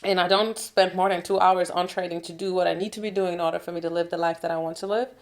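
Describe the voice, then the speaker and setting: A woman's voice: on the low side, little pitch movement, quick pace. A woman in her thirties from Germany with a German accent, speaking English as a second language and talking candidly as a podcast guest. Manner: candid and talkative, frequent "like" and "you know what I mean". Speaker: guest, trader and entrepreneur. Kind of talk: casual podcast interview.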